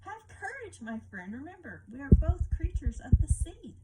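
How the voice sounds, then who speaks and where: A woman's voice: sits high, sing-song, normal speed, recorded from a distance, heavy background noise, voice pushed up. A woman voicing a bold, cheerful male lobster sailor from a children's fable, in a theatrical, exclaiming way. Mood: cheerfully brave.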